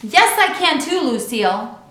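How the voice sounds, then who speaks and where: A woman voicing an excitable, defiant young schoolgirl in a children's storybook, with an eager manner. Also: high-pitched, measured pace, pitched above the performer's own voice, full character voice. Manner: squealing, hollering, eager. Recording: echoey untreated room.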